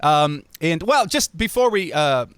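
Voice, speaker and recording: A man's voice: a little high, a little slow, smooth, no echo. A man from Ohio hosting a podcast interview, talking casually with lots of fillers and restarts. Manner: relaxed, many fillers and restarts. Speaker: podcast host.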